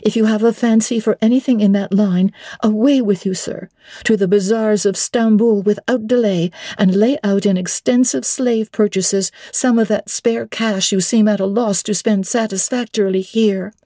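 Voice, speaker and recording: A woman reading a classic novel aloud, playing a spirited, defiant young English governess with a brisk, emphatic manner. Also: mid-range voice, steady tone, warm tone, dry recording, studio quality, voice pushed up, close to an everyday voice. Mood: indignant. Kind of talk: dramatic classic audiobook reading.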